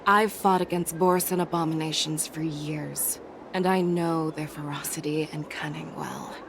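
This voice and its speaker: A woman's voice: deep voice, fairly even intonation, moderate pace, slight background noise. A woman voicing a seasoned female warrior general in a game, composed, assured and wryly teasing. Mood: steady, wry. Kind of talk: acted game story dialogue.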